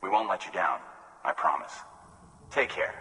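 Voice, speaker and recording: A man's voice: deep voice, animated, normal speed, sharp tone. An actor playing a courteous, grateful male weather station worker in a video game, speaking politely and earnestly. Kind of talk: video game cutscene acting. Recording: long reverb, faint noise.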